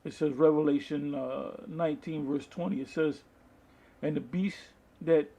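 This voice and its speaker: A man preaching from the Bible, reading verses aloud and explaining them in a halting, deliberate manner, with a husky, gravelly voice. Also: fairly high pitch, steady tone, warm tone, dull audio. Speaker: street-style Bible preacher. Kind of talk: Bible teaching with scripture read aloud and commentary.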